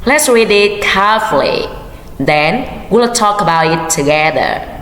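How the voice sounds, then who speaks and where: A woman English teacher giving calm, measured classroom instructions. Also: medium pitch. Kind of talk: slow scripted classroom instruction. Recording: echoey hall with faint noise.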